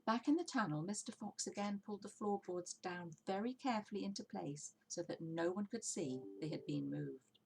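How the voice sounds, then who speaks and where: A woman's voice: medium pitch, normal speed, gravelly, few pauses, slight background noise, low-quality recording. A woman narrating a children's storybook expressively, as a story reader.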